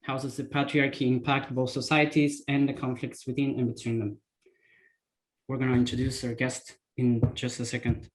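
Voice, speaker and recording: A man from Argentina, co-founder and co-host, opening an online meeting over a video call, halting with fillers and restarts. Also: mid-range voice, little pitch movement, poor audio. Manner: hesitant, with many fillers and restarts.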